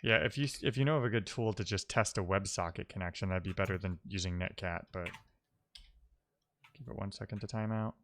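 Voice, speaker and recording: A man's voice: deep voice, brisk, creaky, fairly bright tone. A man live-streaming a coding session, casually thinking aloud and muttering as he troubleshoots, with wry asides. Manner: muttering to himself while troubleshooting.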